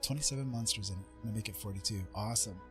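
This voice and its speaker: A man's voice: fairly low pitch, very fast. Appreciative male livestream host over music. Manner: appreciative, effusive with thanks. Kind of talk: casual chat with livestream viewers. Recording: music playing behind the voice.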